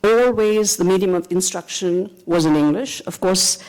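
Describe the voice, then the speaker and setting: A middle-aged woman with a North Indian accent, speaking as a panelist in measured remarks full of fillers. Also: fairly low pitch, a little mellow, low-quality recording. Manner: measured, hesitant with many fillers. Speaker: panelist, attorney.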